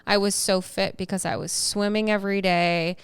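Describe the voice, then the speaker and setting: A woman in her twenties co-hosting a casual podcast, chatty and animated, laughing easily as she talks. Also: medium pitch, normal speed, smooth, no room sound. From United States. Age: 20s. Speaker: podcast co-host.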